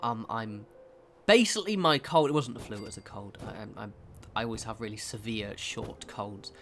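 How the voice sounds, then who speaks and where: A man's voice: on the low side, moderate pace, background noise. A man, nasal and stuffed up from a cold, talking through a game building tutorial in a chatty, rambling way that keeps correcting itself. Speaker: game video creator.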